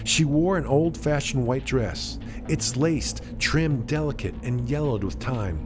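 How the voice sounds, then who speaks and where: A man narrating a suspenseful story in a dramatic, measured way, with deliberate pauses. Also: mid-range voice. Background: music.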